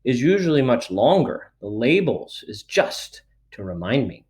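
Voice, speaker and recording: A man's voice: mid-range voice, very animated, a little slow, darkish tone. A man voicing a friendly giant from a storybook, with folksy, muddled grammar. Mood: amiable, emphatic. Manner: folksy, ungrammatical speech. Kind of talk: storybook read aloud.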